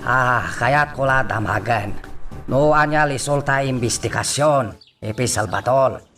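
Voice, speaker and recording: A man's voice: medium pitch, measured pace, a bit bright, close to an everyday voice. An actor with an Ilocano accent playing a serious man in a radio drama. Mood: serious, formal. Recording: distant mic, music behind.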